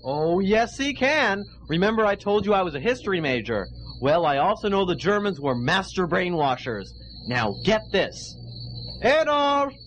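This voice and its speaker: A man's voice: sits high, big pitch swings, normal speed, a bit bright, a little hiss or hum, rough recording. A man playing a crafty, bossy young buddy in an amateur comedy audio drama. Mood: eager, scheming. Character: Ronnie, crafty, bossy history-major buddy, male.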